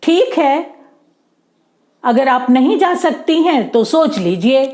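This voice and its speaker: A woman's voice: high-pitched, very animated, slow pace, darkish tone, full character voice. A woman voicing an earnest, persuasive male snake charmer who pleads for help in a children's story. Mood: resigned warning.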